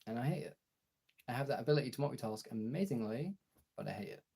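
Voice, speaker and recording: A young man streamer talking casually and frankly in a gravelly, husky voice, halting at times and swearing freely. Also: medium pitch, animated, warm tone. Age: young adult. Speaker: streamer and voice actor chatting to followers. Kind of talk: casual candid chat.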